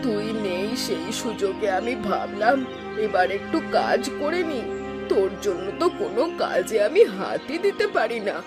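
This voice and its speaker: A woman voicing a middle-aged village mother in an animated folk tale, complaining and firm. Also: medium pitch, normal speed, lightly acted. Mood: fretful, caring. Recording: loud plucked-string music behind the voice.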